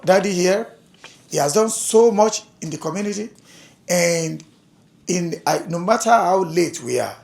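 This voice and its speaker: A man from Africa addressing a community dialogue, halting and repeating words, laughing between points. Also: fairly high pitch, vocal fry. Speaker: community speaker.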